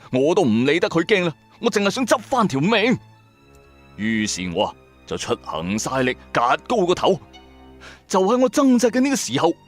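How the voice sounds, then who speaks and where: A man narrating a first-person supernatural horror story in a dramatic, colloquial storyteller's manner. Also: a little high.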